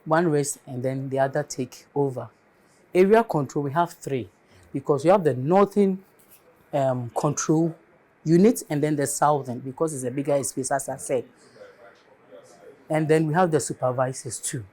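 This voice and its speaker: A woman with a Ghanaian accent answering interview questions as an air traffic controller, explaining things step by step in a deliberate, emphatic way. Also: low voice, warm tone.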